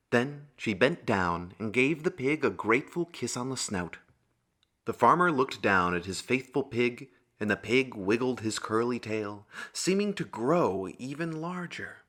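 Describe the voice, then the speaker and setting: A man's voice: medium pitch, a bit bright. A man narrating a scripted fairy tale for a puppet show in a theatrical storyteller manner.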